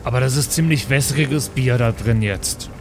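A man's voice: mid-range voice, normal speed, background noise. A man talking casually and improvising as a player in a live tabletop role-playing game. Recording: prominent music behind the voice.

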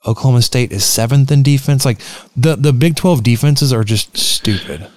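A man's voice: mid-range voice, darkish tone, no echo. A man co-hosting a sports podcast in casual chat, rambling through stats with frequent fillers and restarts. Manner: rambling, filler-heavy, halting. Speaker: podcast co-host.

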